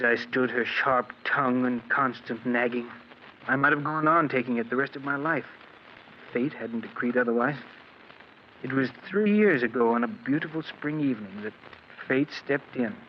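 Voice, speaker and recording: An actor playing a mild, henpecked bookkeeper husband with dark secrets, earnest and melodramatic in 1940s radio drama style. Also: male voice, mid-range voice, normal speed, close to an everyday voice. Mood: grave, confessional. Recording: muffled old radio recording with faint noise.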